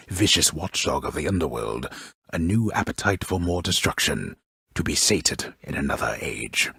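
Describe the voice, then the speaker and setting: An actor playing a solemn, wry storyteller of underworld myths in a video game, with grandiose, measured delivery and a gravelly, husky voice. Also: male voice, a bass voice, a bit bright, no echo, studio quality, heavily acted. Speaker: storyteller narrator. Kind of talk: scripted dramatic video game narration.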